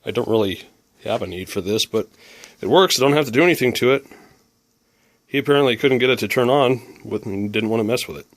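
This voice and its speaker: A man's voice: medium pitch, fast, dry recording. A man giving a casual hands-on repair demonstration, musing and thinking aloud as he works. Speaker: radio repair hobbyist presenter.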